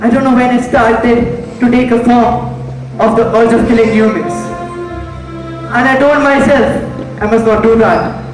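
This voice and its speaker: A teenage boy playing a murderous, self-righteous judge in a dramatic, deliberate stage monologue with repeated phrases. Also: very high-pitched. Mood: brooding. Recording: echoey hall, far mic, faint music.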